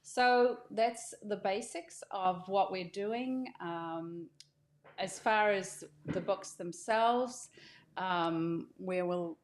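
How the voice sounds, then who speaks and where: A woman's voice: mid-range voice, drawn-out words. An older woman speaking as an author and host, casually explaining things in an encouraging, inviting way. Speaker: author hosting a welcome video.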